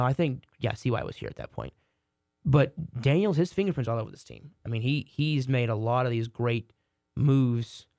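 A hoarse man co-hosting a sports podcast, talking casually and restarting his sentences often. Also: medium pitch, a little mellow, no echo, studio quality. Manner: rambling, many restarts. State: hoarse from a cold. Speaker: podcast co-host.

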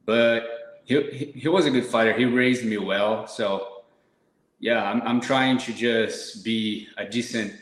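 A man's voice: medium pitch, slow, echoey room, poor audio. A man from Brazil, a podcast guest, talking casually and modestly, often restarting his words. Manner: modest, hesitant, repeats words.

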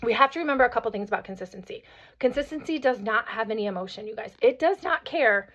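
A woman in her thirties or forties hosting a motivational podcast, hoarse from a cold, talking energetically and often asking "right?". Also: on the high side, fast talker. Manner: energetic, chatty, peppered with "right?" and "like". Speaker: podcast host. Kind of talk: motivational solo podcast talk. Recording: slightly dull lo-fi audio.

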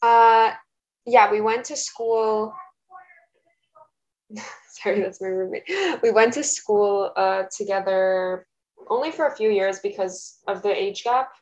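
A young woman whose first language is Russian answers questions at home, pausing often and filling gaps as she thinks. Her voice sits at an ordinary middle pitch. The recording is dull and lo-fi. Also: steady tone. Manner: hesitant, thoughtful, many fillers. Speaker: interviewee. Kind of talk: casual interview. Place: home, roommate nearby.

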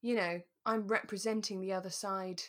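A British woman co-hosting a relaxed chat podcast, telling stories with acted-out asides. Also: medium pitch, moderate pace. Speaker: podcast co-host. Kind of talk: relaxed chat about a radio drama.

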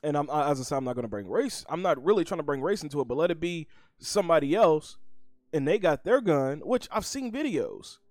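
A man hosting a casual podcast chat, laid-back and a bit rambling, keeping his voice low. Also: lively intonation, brisk, no room sound, clean studio sound. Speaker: podcast host.

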